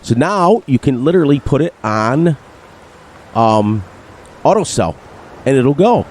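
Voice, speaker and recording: A man commentating his farming game with upbeat, bouncy energy, repeating words in a sing-song way. His voice sounds slightly nasal from allergies. The tone is mellow and warm, at a middle pitch. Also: very animated, measured pace, slight background noise. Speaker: gaming channel host. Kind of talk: narrated gameplay commentary.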